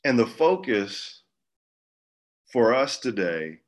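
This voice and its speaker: A man speaking as a pastor, giving an informal Bible teaching in a measured, halting way with pauses mid-phrase. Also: medium pitch, very animated. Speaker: pastor leading a church group.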